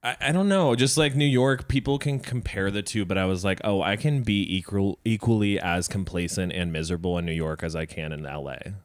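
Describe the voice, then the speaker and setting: Deep, smooth-voiced young man, hesitant. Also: a little fast, few pauses, dry recording. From Indonesia. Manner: hesitant, filler-heavy, self-deprecating. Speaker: podcast guest comedian. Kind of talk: casual chat.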